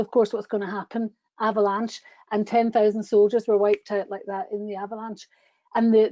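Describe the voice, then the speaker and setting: A woman's voice: on the high side, mellow, dry recording. A middle-aged woman enthusiastically presenting travel slides, chatty and gushing, speaking over a webcam on a video call. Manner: gushing, chatty, with frequent restarts. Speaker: tour company presenter. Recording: dull lo-fi video call audio.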